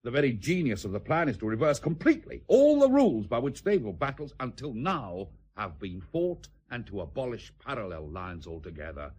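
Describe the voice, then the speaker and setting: Explosive admiral, vain, emphatic, raspy, dramatic swings of pitch, radio play. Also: male voice, big pitch swings, fairly quick, hardly any pauses, a little mellow. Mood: intense, proud. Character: fiery, vain, visionary British admiral.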